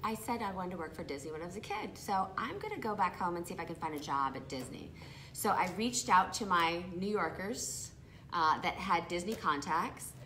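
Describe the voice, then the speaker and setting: Lively Brooklyn woman with a bright voice. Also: on the low side, a little hiss or hum, rough recording. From Brooklyn, New York. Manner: lively, laughs often, talks over the host. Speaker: guest. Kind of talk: casual interview chat.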